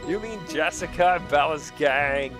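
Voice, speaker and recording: A man's voice: fairly high pitch, a little slow, pitched above the performer's own voice, close to an everyday voice. A man voicing a gushing, friendly teenage goth schoolgirl in a comic dramatic reading, with music behind. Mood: gossipy, indignant. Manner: gushing, girlish.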